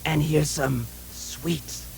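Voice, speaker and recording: A man voicing a puppet of a fussy, gluttonous, sanctimonious holy man, insistent and repetitive, with a raspy, creaky voice in a theatrical show style. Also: fairly high pitch, steady tone, very slow, darkish tone, exaggerated voice. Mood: pushy insistence.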